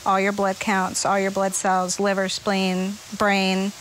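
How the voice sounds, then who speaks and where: A woman's voice: medium pitch, monotone, slow, fairly bright tone, poor audio. Woman recounting in interview, faint noise. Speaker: interviewee, patient's mother. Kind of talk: personal account in a news interview.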